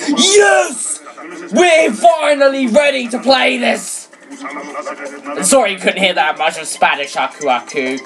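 Excitable teenage girl gamer, lowish voice. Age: teens. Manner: rambling, excitable, apologetic. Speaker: let's play gamer. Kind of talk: casual gameplay commentary. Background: game music.

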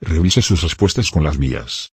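A man reading short phrases for learners, slow and deliberate, one phrase at a time. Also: very deep voice, lively intonation, slightly rough, no room sound, studio quality. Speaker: phrase reader.

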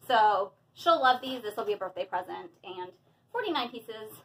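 A woman in her thirties chatting casually and excitedly while unboxing things in a small room at home, with frequent fillers. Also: medium pitch, normal speed, rough recording. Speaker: hobby vlogger.

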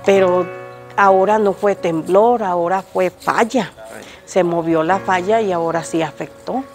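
An older woman with a Mexican Spanish accent, answering a reporter's questions outdoors on the street in front of her home. She speaks in a hesitant, halting way with frequent restarts. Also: fairly low pitch, darkish tone, soft background music. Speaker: interviewed resident. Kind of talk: street interview. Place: outdoors on a street by a house gate.

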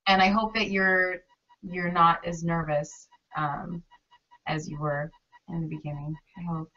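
A woman's voice: fairly low pitch, steady tone, measured pace, sharp tone. A young woman hosting a casual interview over a video call from her bedroom, bubbly and gushing. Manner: bubbly, gushing, lots of fillers. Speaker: interviewer host. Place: small bedroom, indoors. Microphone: video call webcam. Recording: distant, dull webcam audio.

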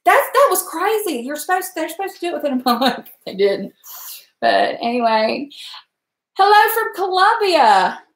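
A woman's voice: very high voice, sing-song, normal speed. A woman in her forties with an Appalachian Virginia accent, chatting folksily with her live viewers while she cooks at the stove in her home kitchen. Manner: folksy, rambling, talks to chat viewers. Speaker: cooking live streamer. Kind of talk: chatty live-stream cooking talk. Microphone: webcam at stove. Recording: echoey kitchen.

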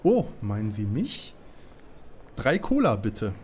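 A man's voice: fairly low pitch, wildly swooping pitch, takes it slowly, darkish tone, a little hiss or hum, exaggerated voice. An actor playing an eager, polite young male detective in a parody audio drama.

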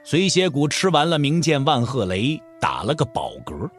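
A man's voice: on the high side, huge pitch swings, normal speed, faint music behind. A man narrating a martial arts novel for an audio drama in a dramatic storytelling style.

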